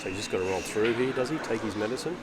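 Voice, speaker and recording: A man's voice: fairly low pitch, animated, racing. A man giving casual live co-commentary on a pool match, chatting with his partner. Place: pool venue, close to the players. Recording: loud noise at live venue.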